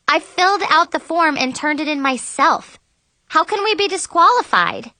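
A woman's voice: fairly high pitch, animated, moderate pace, pushed and bright, no echo. A woman voicing an earnest, pleading, self-blaming teenage girl in an audiobook. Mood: upset, protesting. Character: apologetic, emotional teenage girl narrator-heroine, self-blaming.

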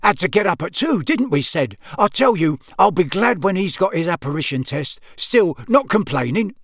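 A man voicing a genial, boastful middle-aged wizard father in an audiobook, with a booming, hearty, colloquial way of talking. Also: big pitch swings, moderate pace, fairly bright tone, no room sound, clean studio sound, heavily acted. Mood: hearty, chatty.

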